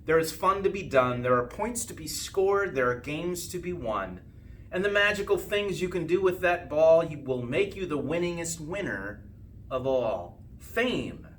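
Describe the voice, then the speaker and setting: A man reading a rhyming children's storybook aloud with expressive, sing-song phrasing and drawn-out line endings. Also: fairly high pitch. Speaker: school principal reading a storybook. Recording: distant mic with faint background noise.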